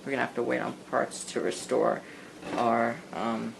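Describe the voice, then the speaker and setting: A man's voice: mid-range voice, level tone, moderate pace, vocal fry. A man talking casually while he restores an old radio, thinking aloud and making chatty asides as he works. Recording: noisy workshop recording.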